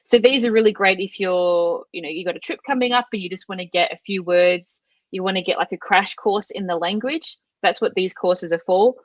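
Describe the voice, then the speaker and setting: A woman's voice: medium pitch, brisk, no echo, lo-fi sound. A middle-aged Australian woman chatting casually as an interview guest, with lots of fillers and restarts. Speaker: guest, language course creator.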